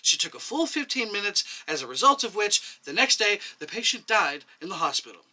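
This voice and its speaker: A man's voice: high voice, sing-song, brisk, pushed and bright, pitched above the performer's own voice, full character voice. A man voicing an indignant young doctor who is exacting, emphatic and accusing, in a short story reading.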